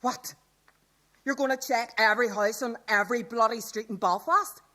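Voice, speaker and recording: Anxious, high-voiced woman. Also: moderate pace, pushed and bright. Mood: exasperated. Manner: exasperated, pleading. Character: anxious, scolding young woman sheltering in a polluted city. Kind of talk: radio drama acting.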